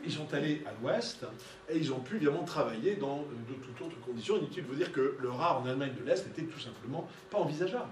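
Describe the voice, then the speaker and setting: A man's voice: on the high side, slight background noise. A man giving an interactive art lecture, conversational and peppered with tag questions. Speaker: art lecturer leading a discussion. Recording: echoey room, distant mic, audience murmur.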